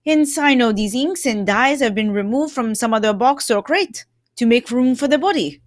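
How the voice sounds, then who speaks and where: A woman's voice: a little high, moderate pace, no echo, studio quality, natural voice. A woman playing a shrewd, courteous male detective in an old-time radio mystery, explaining things theatrically. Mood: explaining, triumphant.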